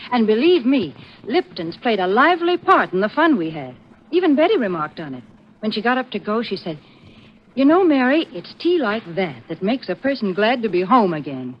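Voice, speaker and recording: A woman's voice: on the high side, big pitch swings, normal speed. A woman playing a cheerful, chatty homemaker with a polished, folksy manner in a 1940s radio advertisement. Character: cheerful, chatty female homemaker pitching tea. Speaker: sponsor spokeswoman. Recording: muffled, slightly noisy 1940s radio recording.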